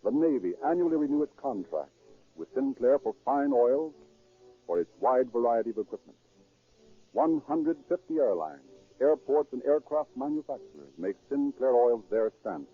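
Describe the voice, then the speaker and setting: Man announcing on muffled 1930s radio, husky. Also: mid-range voice, animated, moderate pace. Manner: formal, declamatory, scripted delivery. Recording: muffled, band-limited 1930s radio broadcast.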